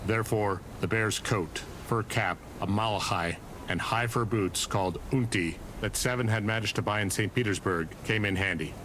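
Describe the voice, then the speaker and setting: An actor narrating a dark period detective visual novel in a sober, deliberate, descriptive manner. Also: male voice, on the low side, noisy background, lightly acted. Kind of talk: scripted narration.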